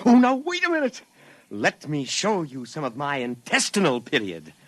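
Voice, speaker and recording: Clueless braggy artist, highish lively voice, old 50s radio show. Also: male voice, normal speed, full character voice. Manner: boastful, brash, chatty. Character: eager, conceited, oblivious male bohemian painter. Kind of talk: 1950s radio comedy acting. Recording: 1950s radio recording.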